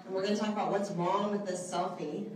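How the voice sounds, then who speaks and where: A woman with a soft voice leads a live group workshop, chatty and often checking in with the audience with "okay?" and "right?". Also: mid-range voice, steady tone, fast, a little hiss or hum. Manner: chatty, asks the group questions, many okays and rights. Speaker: trainer teaching a selfie workshop. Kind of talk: live instructional talk to a group. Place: indoor room with a large window, small audience present. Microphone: handheld microphone. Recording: echoey room, voice from far off.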